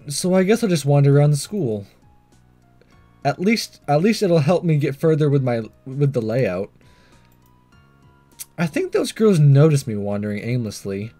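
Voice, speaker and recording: A man's voice: medium pitch, dark tone. A man reading a visual novel aloud as a self-conscious, earnest teenage schoolboy voicing his fretful inner thoughts.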